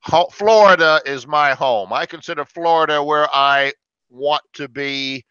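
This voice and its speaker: A man's voice: a little high, measured pace, bright, forceful tone, clear, resonant tone, no echo, highs cut off. An older man from Alabama teaching English conversation, patiently explaining with everyday examples to a group of learners. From Alabama, United States.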